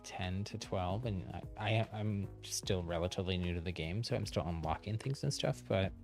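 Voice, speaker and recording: Low-pitched man chatting on a game stream. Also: moderate pace, vocal fry, a little mellow, faint music behind. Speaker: livestreamer, channel host. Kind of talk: casual game stream commentary and chat.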